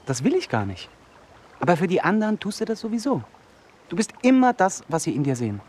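An actor playing a vulnerable, brooding young outcast in a naturalistic radio drama, speaking in an earnest, halting, searching way. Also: male voice, sits high, sing-song, a little mellow, slight background noise. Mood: earnest, probing.

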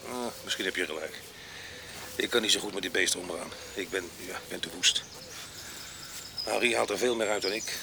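Gruff, domineering farm boss, noisy outdoors. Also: male voice, sits low, fairly quick, long pauses. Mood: gruffly calm. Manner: gruff, mocking, barking orders and threats. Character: rough, wild, domineering one-eyed French farm boss. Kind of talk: Dutch radio drama acting. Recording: noisy outdoor farm sound effects behind.

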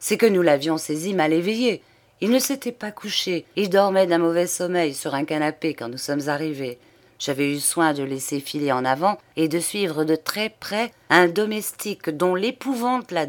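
A woman reading an audiobook, voicing an excitable, talkative old man who is vain and emphatic. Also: low-pitched, moderate pace, close to an everyday voice. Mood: confident. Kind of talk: audiobook character dialogue.

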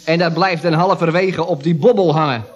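An actor playing a gleeful, rambling employee who retells mishaps in an old radio comedy, with a Netherlandic Dutch accent. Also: male voice, a little high, fairly quick, close to an everyday voice. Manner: rambling, exclamatory storytelling. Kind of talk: 1970s radio comedy acting.

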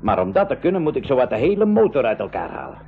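A man's voice: mid-range voice, wildly swooping pitch, fast, vocal fry. A man playing a practical, reassuring uncle and professor in a 1980s radio drama, explaining things matter-of-factly. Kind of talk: 1980s school radio drama acting in Dutch. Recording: muffled 1980s radio with faint noise.

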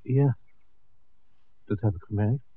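An actor playing a calm, smitten male filmmaker in a 1960s radio drama. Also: on the low side, takes it slowly, husky. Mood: guarded. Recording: dull vintage 1969 radio recording.

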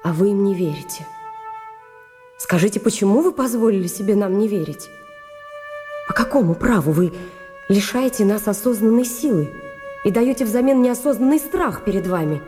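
An actress playing an earnest, idealistic wartime lawyer with a dignified, pleading manner in a radio play. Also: female voice, mid-range voice, lively intonation, normal speed, long pauses, warm tone, close to an everyday voice. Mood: impassioned. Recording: long hall reverb, music behind.

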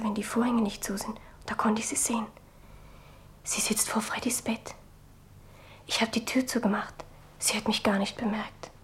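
A female performer in a German radio play as a worried, sullen young girl with a stubborn, hushed manner. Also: medium pitch, natural voice. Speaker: radio drama actor.

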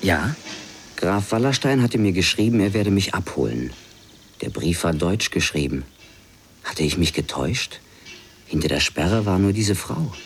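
An actor playing a bookish, politely reserved young French traveller in a radio drama, reflective and hesitant. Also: male voice, deep voice, sing-song, measured pace, slight background noise, heavily acted. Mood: reflective, puzzled. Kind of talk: radio drama, inner monologue and dialogue.

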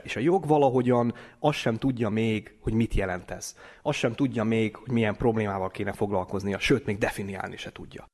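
Low-voiced man explaining in an interview. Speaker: interviewed company president and legal expert.

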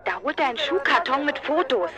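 A woman acting in a German radio drama as a chatty, excitable, cheeky daughter, telling things in a breezy, gossipy, slangy way. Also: high-pitched, a little fast, a crowd in the background. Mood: excited, amused.

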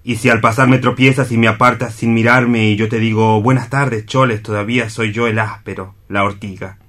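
Un actor como hermano amargado y atormentado. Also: male voice, lowish voice, steady tone, a little fast, fairly bright tone, close to an everyday voice. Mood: bitter, resentful. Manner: impassioned, reproachful, self-pitying. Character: bitter, anguished younger man, envious overlooked brother. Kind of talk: radio theatre acting.

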